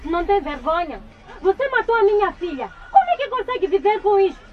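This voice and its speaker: A woman acting in a radio drama as an enraged, grieving mother, shrill and accusatory. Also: big pitch swings, a little fast, narrow, tinny audio, some chatter behind. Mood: enraged, anguished.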